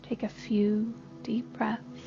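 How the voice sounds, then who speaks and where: Female meditation guide, gentle and very measured, with noticeable vocal fry; slightly dull recording over music. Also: fairly high pitch, level tone. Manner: gentle, unhurried instruction. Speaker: therapist guiding a meditation. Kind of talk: scripted guided body scan meditation. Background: soft music.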